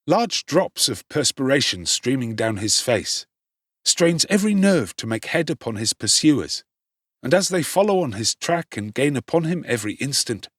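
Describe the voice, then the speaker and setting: A man's voice: mid-range voice, fairly bright tone, husky, no room sound, studio quality. A man narrating a classic novel in a dramatic, theatrical and emphatic style with precise delivery. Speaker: audiobook narrator.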